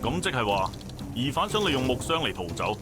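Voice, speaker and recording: A man's voice: mid-range voice, very animated, brisk, close to an everyday voice. An actor playing a brusque male police chief in a game, curt and commanding. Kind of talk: Cantonese game voice acting. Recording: game audio with music behind.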